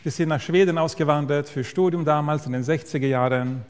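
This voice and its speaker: A man's voice: a little high, brisk, smooth. A man in his fifties from Sweden with an Austrian-Swedish accent, a pastor giving a friendly church lecture that speaks directly to the listeners, with occasional hesitations. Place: church hall. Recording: reverberant hall.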